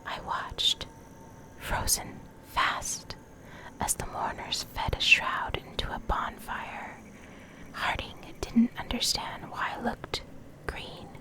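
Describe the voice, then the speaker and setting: A woman reading in a soft, breathy whisper for ASMR, gentle and measured, close to the microphone. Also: low-quality recording. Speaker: ASMR creator, video host and reader. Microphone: close USB condenser microphone.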